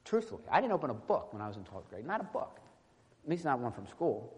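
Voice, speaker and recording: A man preaching an informal, teaching-style sermon in a halting, conversational way, often checking in with short tag questions. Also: mid-range voice, very animated, a little mellow. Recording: echoey hall with long reverb.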